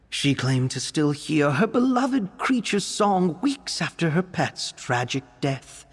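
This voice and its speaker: Expressive, husky young vampire scholar. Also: male voice, medium pitch, big-room sound, heavily acted. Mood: curious, bemused. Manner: earnest, expressive, articulate and bookish. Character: earnest, scholarly young male vampire alchemist. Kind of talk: video game character voice acting.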